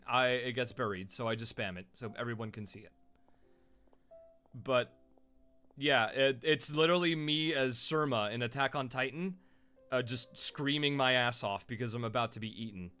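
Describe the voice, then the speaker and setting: A man who is a voice actor and streamer, chatting casually and loudly on his live stream with lots of fillers. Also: mid-range voice, normal speed, smooth, faint music behind. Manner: loud, chatty, rambling with fillers.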